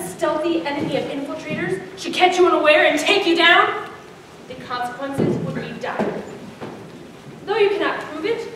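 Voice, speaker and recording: A woman's voice: sits high, recorded from a distance, background noise. Teen girl performing dramatically in echoey hall. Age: teens. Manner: dramatic, swings from hushed to emphatic. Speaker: high school student performer. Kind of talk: readers theater stage performance. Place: large school hall on stage. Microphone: distant room mic.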